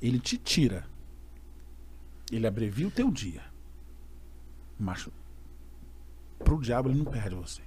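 A Brazilian man, a gospel singer guest, telling an animated story and acting out the voices of others. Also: medium pitch, measured pace, long pauses, breathy edge. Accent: Brazilian Portuguese. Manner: expressive, acts out quoted voices.

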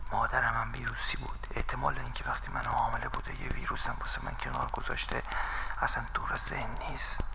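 A man speaks almost entirely in a breathy whisper, his low voice cracking into heavy fry. The delivery is an intimate inner monologue, close and hushed, at an ordinary pitch. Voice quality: whispery and creaky. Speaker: narrator.

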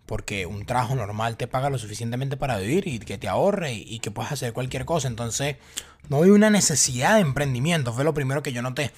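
A man's voice: medium pitch, animated, quick pace, breathy edge. A young man in his twenties with a Venezuelan accent, telling his personal story to the camera in a casual, talkative way with many fillers and restarts. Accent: Venezuelan Spanish. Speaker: vlogger.

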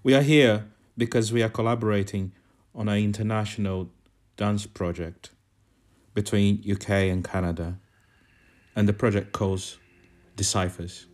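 A man from Brazil, a dance artist, narrating a calm guided walk with soft music behind. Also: fairly low pitch, a little slow, pauses often.

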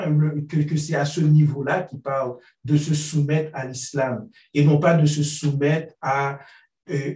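A man's voice: a little high, darkish tone. Guy talking in a discussion group, earnest, thinks aloud, kinda far from the mic, echoey. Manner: thoughtful, searching for words. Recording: roomy, distant from the mic.

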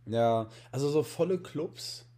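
Joking young man with a mellow voice. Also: on the high side, lively intonation, unhurried. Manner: joking, rambling, repeats words. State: has a slight cold. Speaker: podcast host. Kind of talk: casual chat.

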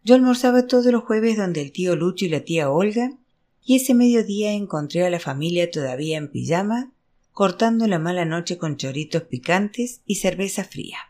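Audiobook narrator, mid-range pitch, scripted literary reading, novel narration. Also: a little mellow. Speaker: audiobook reader.

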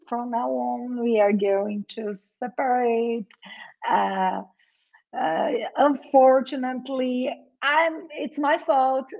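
A woman with a Brazilian Portuguese accent talks in a slow, unhurried way, laughing easily between her words. Her voice sits a little high for a woman. The recording sounds dull and lo-fi. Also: gravelly. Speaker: guest, lawyer. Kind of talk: casual conversation.

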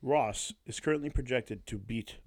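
A man co-hosting a sports podcast, reading off scores and stats in a low-key way. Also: moderate pace, darkish tone, no room sound. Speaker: podcast co-host. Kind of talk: casual sports chat.

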